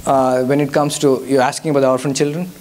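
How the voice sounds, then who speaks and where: A man's voice: medium pitch, little pitch movement, quick pace, a little mellow, slight background noise. A young man from India with a Telugu-accented English, a Telugu native speaker answering audience questions as a guest speaker at a church youth service, hesitant with frequent fillers and restarts.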